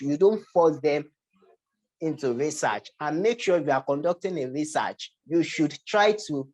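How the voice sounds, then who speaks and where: Young Nigerian-accented research presenter, mid-pitched, hesitant, given to restarts and repeated words. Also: a little mellow, rough recording. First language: Yoruba. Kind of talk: academic slide presentation.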